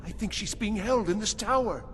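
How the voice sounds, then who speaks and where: A man's voice: high voice, moderate pace, fairly bright tone. A man playing a frightened, desperate farmer in a video game, pleading urgently as he searches for his wife. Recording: long hall reverb, faint background noise.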